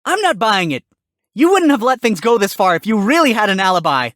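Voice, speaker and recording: A woman's voice: mid-range voice, big pitch swings, brisk, no room sound, studio quality, close to an everyday voice. A woman voicing a sly, sarcastic male fox defense lawyer arguing in court.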